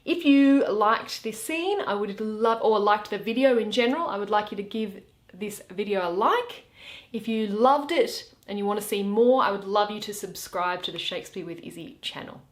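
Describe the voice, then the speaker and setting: A woman's voice: mid-range voice, animated, normal speed. A woman hosting her own video, chatting to viewers about the play she has been reading aloud. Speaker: host and reader of a Shakespeare channel. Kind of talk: solo dramatic reading of a play with commentary. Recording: roomy, echoey indoor recording.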